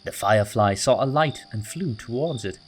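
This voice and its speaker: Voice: mid-range voice, animated, normal speed. A narrator reading a children's story aloud from a script, in a storybook style. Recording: music bed under the voice.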